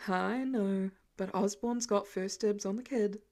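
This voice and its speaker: A woman's voice: medium pitch, fairly even intonation, measured pace, a little mellow, lightly acted. A woman playing a self-assured adult man in an audiobook, talking in a casual, dismissive way. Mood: unimpressed.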